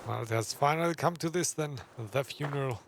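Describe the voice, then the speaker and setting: A man's voice: on the high side, brisk, vocal fry, background noise. A man hosting a gaming video, giving casual off-the-cuff commentary on the story as he plays.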